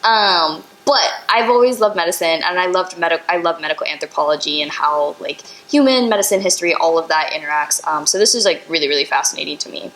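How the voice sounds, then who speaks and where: A woman's voice: lowish voice, fast, few pauses, a bit bright, low-quality recording. A young woman co-hosting a casual educational podcast, chatty and full of fillers as she explains chemistry step by step. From Indian heritage, Bengali. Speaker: podcast co-host.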